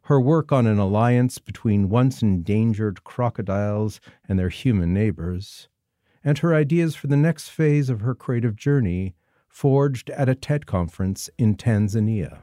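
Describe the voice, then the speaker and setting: A man hosting an interview podcast, reading a measured introduction and asking thoughtful questions. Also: on the low side, expressive, warm tone, no room sound, clean studio sound. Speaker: podcast host.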